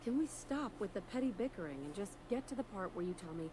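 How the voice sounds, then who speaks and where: A sardonic female adventurer from a video game voiced by an actress, with a mid-pitched voice. She is dry and rather impatient, and there is clear noise behind her. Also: animated, fast, natural voice. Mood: skeptical, impatient. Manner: dry, sarcastic. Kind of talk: video game character dialogue.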